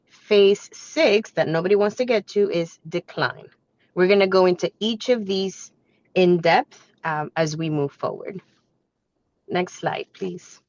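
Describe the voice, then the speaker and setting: A woman with a slightly hoarse voice from a cold, presenting like a business coach in a conversational, instructive way. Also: medium pitch. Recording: echoey room, dull muffled sound.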